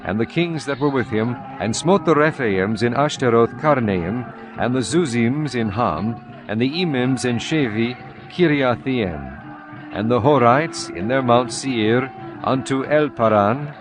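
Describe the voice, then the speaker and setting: A man reading scripture aloud as a narrator, slow, measured and solemn. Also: medium pitch, animated, over music.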